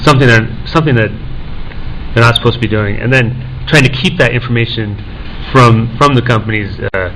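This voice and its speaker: Halting young man on a conference panel. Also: medium pitch, noisy background. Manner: halting, many "uh" fillers and restarts. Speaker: panelist, assistant professor.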